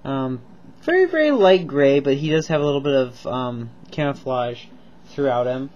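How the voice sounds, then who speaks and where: A man's voice: mid-range voice, lively intonation, normal speed, dull audio, background noise. A man with a cold reviewing toy figures in a casual home video, rambling and enthusiastic with lots of ums and repeats. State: stuffed up with a cold, coughing.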